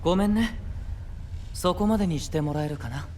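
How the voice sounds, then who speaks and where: An actor playing a gentle, courteous and enigmatic young knight, soft-spoken and composed, in a Japanese fantasy voice drama. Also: male voice, high voice, fairly quick, slight background noise, close to an everyday voice. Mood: apologetic, composed. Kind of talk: Japanese anime-style voice drama.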